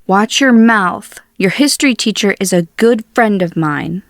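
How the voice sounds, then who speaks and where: A woman plays a stern, unyielding mom in a comic dub. Her mid-pitched voice is firm and slow, in short clipped sentences on a dry recording. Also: animated, no echo. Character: strict, unyielding mother of a teenager. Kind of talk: fandub acting.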